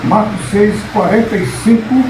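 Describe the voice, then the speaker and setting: A house church gathering in Brazil's Northeast, where a soft-voiced male preacher speaks solemnly from far off under a loud background drone. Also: high-pitched. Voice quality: weak, soft voice. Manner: measured, solemn, deliberate phrasing. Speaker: pastor, preacher. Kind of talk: sermon with scripture reading and prayer. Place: house gathering, with a handheld microphone. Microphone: handheld microphone. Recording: echoey, distant, loud hum of background noise.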